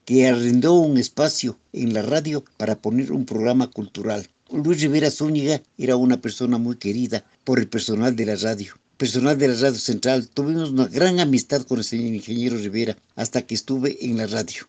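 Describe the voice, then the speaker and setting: An older-style sound technician tells his memories in a formal, deliberate manner. His voice is mellow and dark, at an ordinary pitch. Each sentence is laid out with care. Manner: formal, measured recollection.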